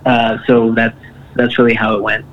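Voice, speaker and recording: A man, a band's keyboardist and bassist, telling a story in a loose, rambling way full of fillers. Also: completely flat delivery, normal speed, muffled recording. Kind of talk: casual interview.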